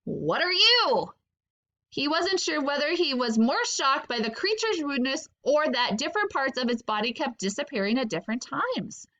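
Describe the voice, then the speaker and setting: Female teacher, high bright voice, expressive children's read-aloud. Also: normal speed, hardly any pauses. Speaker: teacher reading aloud to pupils.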